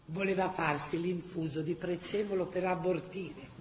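A woman's voice: lowish voice, fairly even intonation, moderate pace, lightly acted. An actress playing a Florentine woman who recounts neighbourhood tales in a colloquial, theatrical way. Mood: animated. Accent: Florentine Tuscan. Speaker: actress-narrator. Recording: roomy stage echo.

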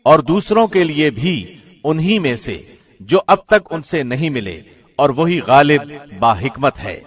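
A man reading a scripture translation like a radio presenter, formal, solemn and measured. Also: on the high side, very animated, husky.

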